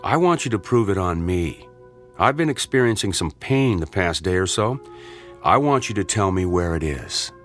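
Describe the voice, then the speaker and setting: A man's voice: sits low, lively intonation, normal speed, soft background music. An actor playing a skeptical, dryly sarcastic male clinic doctor in a radio drama. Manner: sardonic.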